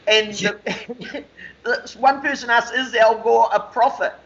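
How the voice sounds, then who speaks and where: A man co-hosting a conversational radio discussion, talking discursively with measured emphasis. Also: very high-pitched, fairly even intonation, gravelly, fairly bright tone. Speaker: radio show co-host, interviewer. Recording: slightly dull lo-fi call audio.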